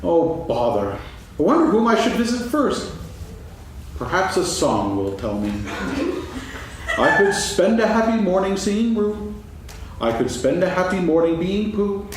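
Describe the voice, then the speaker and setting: Musing high-voiced gentle bear played by a man, echoey. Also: big pitch swings, unhurried, long pauses. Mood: dreamy musing. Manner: musing aloud, humming, singsong. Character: slow-witted, gentle, absent-minded, food-loving toy bear. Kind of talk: staged dramatic reading of a children's story. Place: stage, actors seated, live audience recording. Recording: echoey hall from far off, faint noise.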